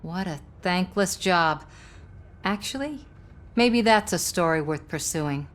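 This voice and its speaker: A cynical, ambitious newswoman in a game cutscene. The voice is on the low side, with a sardonic, flippant delivery. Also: expressive, slow pace. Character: cynical, ambitious female news reporter. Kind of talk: video game cutscene acting.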